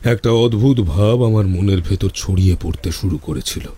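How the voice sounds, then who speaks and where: Brooding tantric mystic, dark husky voice, measured, suspenseful, horror audio drama. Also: male voice, low-pitched. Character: male tantric mystic recounting his eerie supernatural encounters. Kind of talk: first-person horror storytelling in audio drama.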